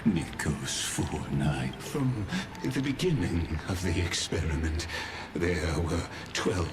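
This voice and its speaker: An actor playing a cold, erudite male villain in a video game, speaking in a deliberate, formal, ominous way. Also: low-pitched. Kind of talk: dramatic video game voice acting. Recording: loud music behind. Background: loud game music.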